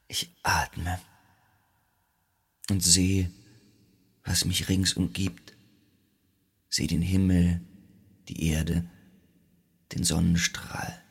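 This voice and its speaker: Broken ancient greek hero in radio tragedy, low gravelly voice, slow and halting, declaiming his grief. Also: a bit bright, heavily acted. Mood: dazed. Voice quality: husky, gravelly, breathy. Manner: halting, declamatory, swinging between whispers and cries. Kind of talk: theatrical radio drama monologue.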